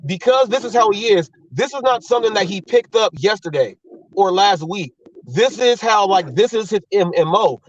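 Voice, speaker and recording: A man's voice: sits high, animated, moderate pace, distant mic, highs cut off. A man hosting a talk show, blunt and heated, swearing and repeating himself as he argues. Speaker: host.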